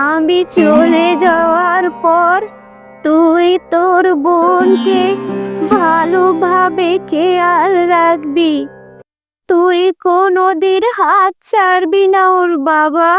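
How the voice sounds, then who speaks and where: A frail old mother on her deathbed in a children's cartoon, acted by a woman. She pleads anxiously in very slow, drawn-out, high phrases over loud music. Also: heavily acted. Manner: drawn-out, halting delivery.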